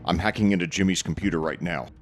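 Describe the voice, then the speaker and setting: A man's voice: low voice, fast talker, soft background music, natural voice. A man playing a jittery, wisecracking computer hacker in a comic dub. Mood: businesslike. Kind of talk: comic book dub acting.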